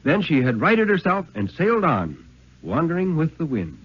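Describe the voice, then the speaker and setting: A man giving a theatrical, suspenseful dramatic reading of a first-person thriller in 1950s radio style, with deliberate phrasing. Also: fairly high pitch, wildly swooping pitch, breathy edge. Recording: dull vintage 1950s radio recording.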